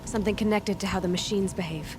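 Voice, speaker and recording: A woman's voice: medium pitch, fairly even intonation, fast, over music, lightly acted. A young woman playing a curious, skeptical outlander adventurer in a video game, direct and dry. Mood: determined. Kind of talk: video game dialogue acting.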